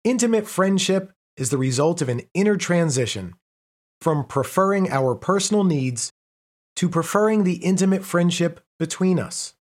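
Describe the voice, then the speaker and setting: A man's voice: sits high, lively intonation, moderate pace. Man reading a relationship article aloud. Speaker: reader.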